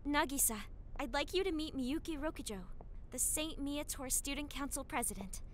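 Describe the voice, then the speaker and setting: A woman's voice: high voice, normal speed, close to an everyday voice. A woman voicing a friendly, helpful teenage schoolgirl in an anime fan dub. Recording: music under the dialogue.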